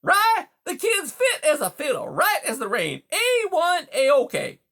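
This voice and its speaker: Loud, high-pitched, pushy beaver. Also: huge pitch swings, slow pace, exaggerated voice. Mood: blustering. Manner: brash, folksy, talking over others. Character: huge male beaver, pushy cliché-spouting smooth-talking bully. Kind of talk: audiobook character dialogue.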